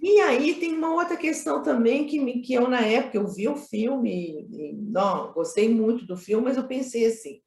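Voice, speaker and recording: A woman's voice: fairly high pitch, expressive, moderate pace, creaky, hardly any pauses, a little mellow. Echoey woman explaining emphatically. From Minas Gerais, Brazil. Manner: emphatic, repeats phrases, frequent tag questions. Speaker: film director being interviewed. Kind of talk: conversational interview, explaining creative choices. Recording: roomy, echoey sound.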